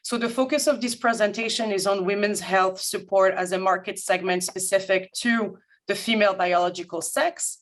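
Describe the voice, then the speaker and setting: A woman from Paris with a French accent, a guest consultant presenting formally and in a measured, articulate way to an audience. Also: medium pitch. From France, grew up in Paris. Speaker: guest speaker, management consultant. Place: remote video-call talk.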